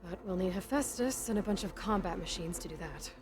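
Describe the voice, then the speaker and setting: A woman's voice: fairly low pitch, lively intonation, fairly quick, close to an everyday voice. A young woman voicing a determined young female hunter in a video game, talking in a direct, inquisitive way. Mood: steady. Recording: game audio with music.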